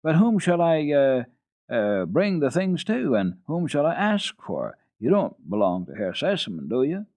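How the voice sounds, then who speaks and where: A man's voice: on the high side, huge pitch swings, a little slow, darkish tone, pitched above the performer's own voice, full character voice. A man playing a blunt but good-natured church tower keeper in a dramatic storybook reading. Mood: questioning. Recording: dull phone-like old radio recording, roomy echo.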